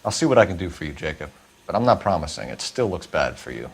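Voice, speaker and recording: An actor playing an earnest, relentless American police detective in a crime game, clipped and formal. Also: male voice, low voice, sing-song, fast, creaky, soft background music, exaggerated voice. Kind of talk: dramatic video game acting.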